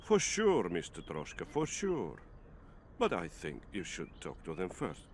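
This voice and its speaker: A scheming game villain, an army officer who drawls his threats with silky mockery. His voice sits very low and swoops widely, set back from the mic. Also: wildly swooping pitch, a little hiss or hum, exaggerated voice. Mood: menacing. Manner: silky, mocking, unhurried.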